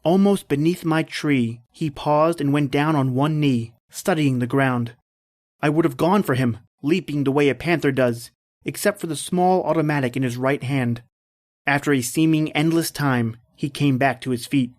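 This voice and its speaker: An actor playing a tense, determined hunted man, narrating his ordeal gravely and deliberately as in an old-time radio drama. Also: a little high, lightly acted. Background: background music.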